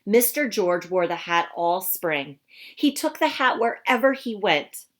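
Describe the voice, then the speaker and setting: A woman reading a picture book aloud to children in an expressive, teacherly way, explaining things simply. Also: medium pitch, measured pace. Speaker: storybook reader for children.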